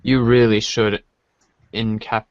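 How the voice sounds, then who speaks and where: Halting man reading aloud, muffled sound. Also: fairly low pitch, level tone, creaky. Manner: halting, stumbles over lines. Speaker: podcast reader. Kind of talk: dramatic read-aloud of a webcomic script.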